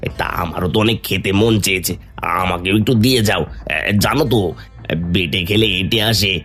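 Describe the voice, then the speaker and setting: Man doing a low-voiced, spiteful village schemer. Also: fairly bright tone. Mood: wheedling. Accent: Bengali. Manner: whiny, wheedling, blustering, drawn-out interjections. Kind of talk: Bengali fairy tale cartoon dubbing. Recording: music under the voice.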